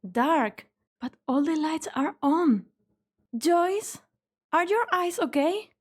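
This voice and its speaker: Very high, anxious young doctor woman acting. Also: lively intonation, takes it slowly, full character voice. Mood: alarmed. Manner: earnest, concerned. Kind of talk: fan-dub game drama acting. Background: background music.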